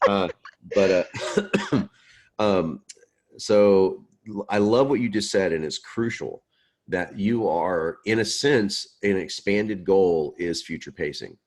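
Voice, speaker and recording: Deep male teacher, loose storytelling delivery, laughs easily. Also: takes it slowly, a little mellow. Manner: chatty, self-deprecating, laughs often. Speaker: instructor. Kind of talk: online class Q&A.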